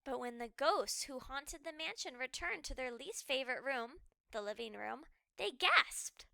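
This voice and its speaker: High, animated woman reading a storybook. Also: gravelly, dry recording, clean studio sound. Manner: dramatic, animated, deliberate phrasing for children. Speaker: children's read-aloud storyteller. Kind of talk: expressive scripted storybook narration.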